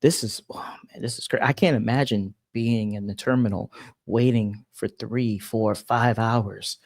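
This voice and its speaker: A man's voice: on the low side, steady tone, a little slow, darkish tone, no room sound, studio quality. A man hosting a casual news commentary show, exasperated and emphatic as he rephrases his points.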